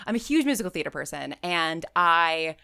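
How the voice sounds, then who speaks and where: Woman, slightly low voice, casual interview guest, chatty and rambling, emphatic on key words, very dry recording. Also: sing-song, normal speed, creaky, pushed and bright, clean tone, no echo. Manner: rambling, peppered with "like" and "you know". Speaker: interview guest, audio drama writer and creator.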